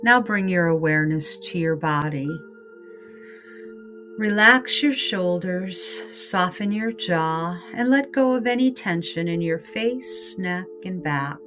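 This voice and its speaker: A woman guiding a scripted meditation in a calm, unhurried, soothing manner. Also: lowish voice, faint music behind. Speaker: meditation guide. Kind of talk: scripted guided meditation narration.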